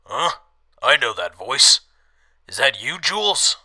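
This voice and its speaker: Man as a sharp, wary wasteland guard. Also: medium pitch, very animated, slow, dry recording, studio quality, heavily acted. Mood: wary, then friendly. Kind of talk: radio drama dialogue.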